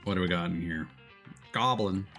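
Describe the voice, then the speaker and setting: A man's voice: deep voice, moderate pace, fairly bright tone. A man streaming a video game, chatting casually and reading the game's text aloud, often stumbling over repeated filler words. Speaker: VTuber streamer. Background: faint game music.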